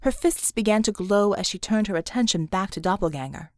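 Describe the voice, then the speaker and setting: A woman's voice: mid-range voice, animated, a little fast, darkish tone, no echo, studio quality. A woman narrating action fiction as an audiobook, reading scripted prose dramatically.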